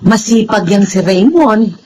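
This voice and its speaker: A woman's voice: medium pitch, measured pace, slight background noise, close to an everyday voice, poor audio. An actress playing a kindly, encouraging middle-aged aunt in a motherly Filipino radio drama style. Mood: cheerful. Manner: animated, motherly.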